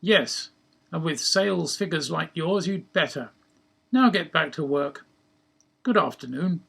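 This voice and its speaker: A man's voice: a little high, lively intonation, measured pace, vocal fry, fairly bright tone, husky, full character voice. A man putting on a bossy head-office boss in a story, with a posh British accent, pompous and hectoring. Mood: pompous, irate.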